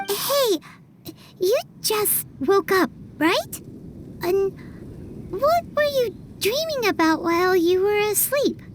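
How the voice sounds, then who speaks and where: A woman voicing a tiny, excitable female fairy companion in a video game, chatty and eager, referring to herself in the third person. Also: very high voice, takes it slowly, slight background noise, heavily acted. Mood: chirpy, eager. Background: soft game music.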